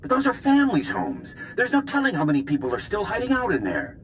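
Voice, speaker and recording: A man's voice: high-pitched, huge pitch swings, fast talker, fairly bright tone, a little hiss or hum, exaggerated voice. A man voicing an earnest, worried resistance ally in a video game, speaking with urgency and passion. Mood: urgent, pleading. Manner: urgent, impassioned.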